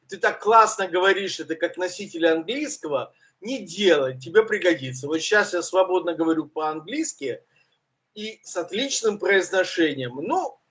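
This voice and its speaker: A man with a high voice and a rolled, French-sounding R chats through the week's news as co-host. He is loose and self-mocking and says "uh" often. The sound is dull and lo-fi, like an online stream. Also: a bit bright. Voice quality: uvular R, burred. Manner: chatty, self-mocking, with fillers. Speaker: co-host, journalist. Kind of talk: casual news commentary chat.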